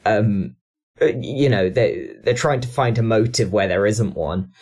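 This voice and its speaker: A man's voice: fairly low pitch, unhurried, darkish tone, slightly rough. A man talking reflectively and analytically as a panellist on a discussion podcast. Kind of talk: discussion and review podcast talk.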